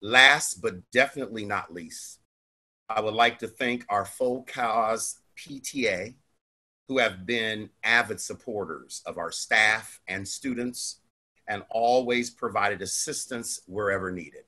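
A middle-aged principal reads a formal, measured welcome into a webcam at home. His voice sits low and a little husky, with a bright edge. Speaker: school principal. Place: home office.

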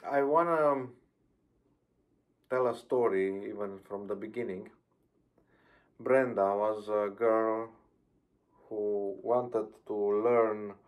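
A middle-aged man with a Romanian accent preaching a sermon over a video call from a plain room, careful and hesitant with frequent ums. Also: fairly low pitch. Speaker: pastor. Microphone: webcam on video call.

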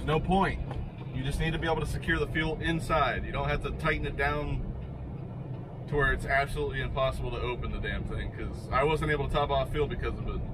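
A man's voice: mid-range voice, steady tone, fast. A man driving a semi-truck, casually talking to his viewers from the cab, laid-back and chatty with the odd curse word. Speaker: truck driver vlogger. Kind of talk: casual narration while driving. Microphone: dashboard camera mic. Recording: loud engine and road noise.

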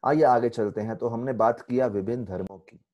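A man in his forties with an Indian accent, giving an online spiritual lecture. He tells stories in a lively way and mixes English phrases into his Hindi. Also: mid-range voice, fairly quick, highs cut off. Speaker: spiritual teacher. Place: temple room indoors. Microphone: earphone headset mic on video call.

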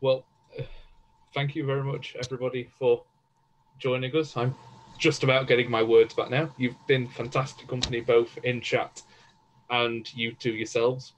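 A man's voice: medium pitch, flat delivery, moderate pace, muffled recording. A man hosting a casual livestream, speaking effusively as he gives thanks. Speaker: stream host. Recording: noisy background on webcam stream.

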